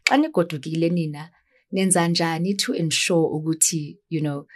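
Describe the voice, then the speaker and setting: A South African woman hosts a relaxed chat. Her voice sits low and she talks in an easy, conversational way, slipping between English and isiXhosa. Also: normal speed, slightly rough. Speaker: host. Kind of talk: casual interview chat.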